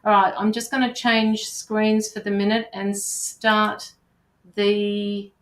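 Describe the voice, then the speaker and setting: A woman's voice: medium pitch, level tone, takes it slowly, roomy sound. A woman hosting a livestream, chatting informally with viewers and her guest. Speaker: livestream host. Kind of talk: casual livestream chat.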